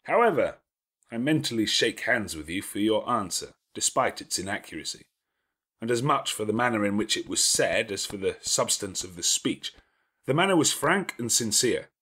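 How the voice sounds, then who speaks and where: A man voicing a brusque, imperious, self-reproaching gentleman in an audiobook of a classic novel, with emphatic, commanding delivery. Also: on the low side, big pitch swings, moderate pace, slightly rough, heavily acted. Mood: mocking, emphatic.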